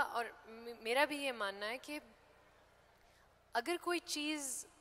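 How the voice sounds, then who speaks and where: A young woman actress hosting on stage, introducing a guest slowly and deliberately while mixing Urdu with English. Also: sits high, fairly bright tone. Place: hotel ballroom stage. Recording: reverberant hall.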